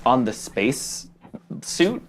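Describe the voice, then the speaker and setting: Very low-voiced woman player. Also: very animated, drawn-out words, slight background noise. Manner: rambling, restarts often. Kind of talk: tabletop game chat.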